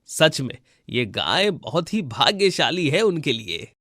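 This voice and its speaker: Gossipy cartoon villager, voiced by a man: exclamatory, highish pitch, swooping intonation, dry sound. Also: very animated, slow pace, pushed and bright, no room sound, heavily acted. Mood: impressed. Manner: exclamatory, emphatic.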